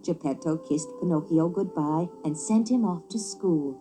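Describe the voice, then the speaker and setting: A woman narrating a children's story as a book on tape, expressive and clearly paced. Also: low voice, normal speed, faint music behind.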